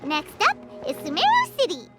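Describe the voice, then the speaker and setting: A tiny, chirpy fairy sidekick for an anime game. The voice is extremely high, squeaky and childlike, with lively swoops. She is cheeky yet helpful, and music plays behind her. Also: normal speed, exaggerated voice. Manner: refers to herself by name in third person. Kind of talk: English anime game voice acting.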